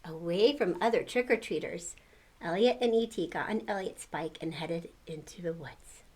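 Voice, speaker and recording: A woman's voice: on the low side, moderate pace, darkish tone, slight background noise. An older woman reading a storybook aloud to children, expressively, with exclamations and questions to the listener.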